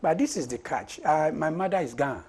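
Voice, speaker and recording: A Ghanaian man with a husky voice and Ga as his mother tongue, answering interview questions in deliberate short phrases. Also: on the high side, lively intonation, a little mellow. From Osu, Accra, Ghana. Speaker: parliamentary candidate being interviewed. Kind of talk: conversational political interview.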